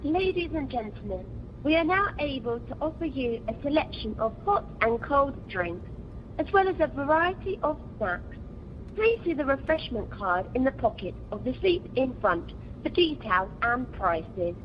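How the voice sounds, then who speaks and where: A woman's voice: sits high, big pitch swings, moderate pace. A woman playing a polite flight attendant making cabin announcements in an amateur comedy radio play. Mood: polite, announcing. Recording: muffled, hollow reverberant conference line, noisy.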